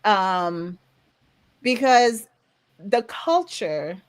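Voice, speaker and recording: A woman's voice: medium pitch, very slow, dry recording. Frank Haitian woman telling stories, dry sound. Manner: frank, animated storytelling with frequent laughs. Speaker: podcast co-host. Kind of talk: candid conversational podcast chat.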